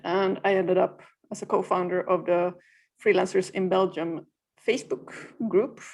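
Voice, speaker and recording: A woman from Finland whose first language is Swedish, a freelancer guest on a podcast, speaking in a plain, practical way with frequent pauses. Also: fairly low pitch, level tone, creaky, a little mellow. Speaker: guest, freelancer community co-founder. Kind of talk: podcast interview.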